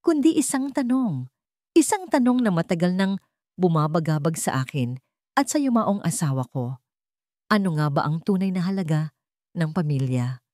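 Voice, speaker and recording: An elderly widowed father acted out by a woman in a radio-style story, dignified but wounded. The speech is solemn and emotional, with highly animated pitch in a dry, close recording. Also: normal speed, long pauses, no room sound, natural voice. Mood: pained, earnest. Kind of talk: dramatic audio-story dialogue.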